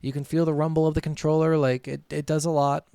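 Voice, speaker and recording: A man's voice: a little high, level tone, fast talker, creaky, dark tone, clear, resonant tone, no room sound, clean studio sound. A man co-hosting a podcast, chatting informally with plenty of swearing and commenting on his notes as he goes. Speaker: podcast co-host. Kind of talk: casual chat, reading notes and commenting.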